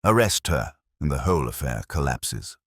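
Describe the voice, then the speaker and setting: Male narrator as confident Victorian policeman, storybook, matter-of-fact, very low voice, dry recording. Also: very animated, moderate pace, a bit bright, breathy edge, no echo, exaggerated voice. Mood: eager, confident.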